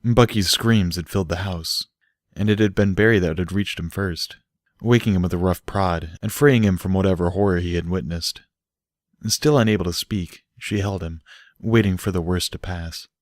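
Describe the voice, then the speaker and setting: A man's voice: sits low, normal speed, no room sound, studio quality. A man reading a story aloud as an audiobook narrator in scripted prose. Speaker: audiobook reader.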